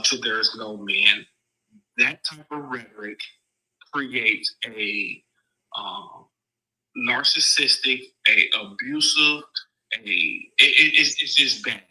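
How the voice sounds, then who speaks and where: Guy on a panel with a really bright tense voice, preachy, talking right at the listeners. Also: medium pitch, slightly rough, rough recording. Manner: earnest, preachy, addresses listeners directly. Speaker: panel guest. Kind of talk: casual live panel discussion.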